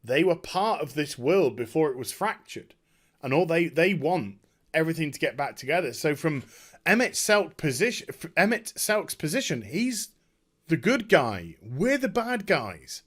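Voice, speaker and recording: Man, slightly high voice, very animated intonation, effusive gamer vlog, halting unscripted rambling. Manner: effusive, overwhelmed, halting with repeats and trailing sighs. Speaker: video game YouTuber and streamer. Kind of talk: unscripted rambling game review chat.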